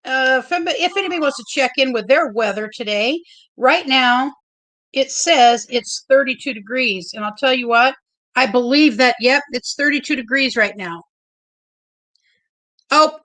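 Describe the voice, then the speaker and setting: A woman hosting a casual livestream, chatty and a little nervous, with a loud, big voice. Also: high voice, normal speed, poor audio. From Oregon. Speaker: livestream host.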